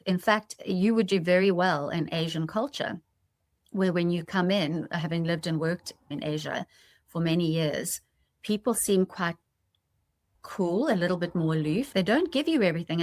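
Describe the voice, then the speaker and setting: A woman's voice: lowish voice, moderate pace, no echo, studio quality. A woman hosting a podcast in a conversational, reflective way, explaining her thoughts to listeners. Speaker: podcast host.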